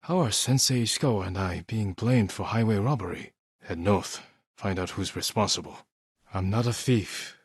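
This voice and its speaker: An actor playing a stoic, honorable samurai warrior in a video game, speaking in a measured, earnest and restrained way. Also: male voice, fairly low pitch, husky, dry recording, studio quality. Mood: grave, resolute. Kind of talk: scripted video game voice acting.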